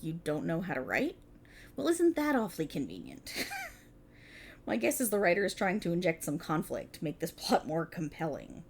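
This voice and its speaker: A woman's voice: lowish voice, very animated, normal speed, vocal fry, slight background noise. A woman playing a prickly, exasperated police officer in a scripted ASMR roleplay, talking in a wry, bossy, explanatory way to an unheard partner. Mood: wry, encouraging. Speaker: voice actor in audio roleplay. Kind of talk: scripted ASMR roleplay, one-sided dialogue.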